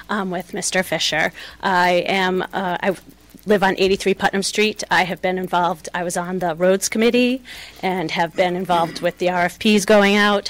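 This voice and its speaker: A woman's voice: medium pitch, no room sound. A woman resident giving public comment at a council meeting, earnest and matter-of-fact.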